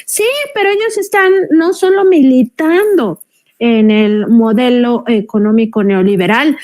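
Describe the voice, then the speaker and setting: A woman's voice: fairly high pitch, a little slow, a little mellow. A woman with a Mexican accent speaking as a journalist in a political panel discussion, emphatic and critical, repeating herself as she builds her point.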